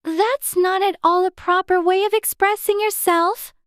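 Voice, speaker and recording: A prim, earnest little girl from a storybook. The voice is extremely high and childlike, with polite, inquisitive phrasing. It sounds dry, with no room echo. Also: steady tone, moderate pace. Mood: stern, scolding. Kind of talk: dramatized storybook reading.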